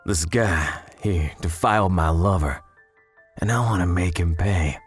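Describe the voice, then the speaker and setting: An actor playing an earnest, devoted young man full of grief and vengeance in an emotional animated drama. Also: low voice, measured pace, breathy edge, soft background music. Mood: bitter, vengeful. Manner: intense, emotional, exclamatory. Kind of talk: dubbed anime-style dramatic acting.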